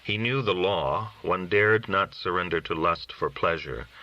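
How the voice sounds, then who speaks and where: A man narrating a literary story in a measured, solemn reading style. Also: deep voice, highs cut off. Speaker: audiobook reader.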